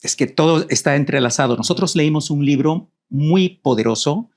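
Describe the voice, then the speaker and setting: A middle-aged man from Rico, a guest telling his life story in a reflective, measured way. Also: on the high side, a little mellow. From Puerto Rico. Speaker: guest, co-author. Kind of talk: personal storytelling in conversation.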